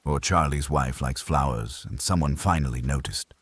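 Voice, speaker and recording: A man's voice: extremely low pitch, moderate pace, husky, no room sound. A man playing a cocky, flippant wisecracker in an audiobook detective story, teasing as he talks.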